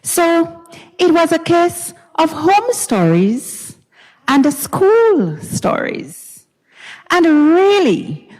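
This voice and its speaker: A woman giving a dramatized literary reading on stage in a recital hall, deliberate and theatrical, with pauses and repetition. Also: high voice, a little mellow. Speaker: dramatic reader. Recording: recital hall with long reverb.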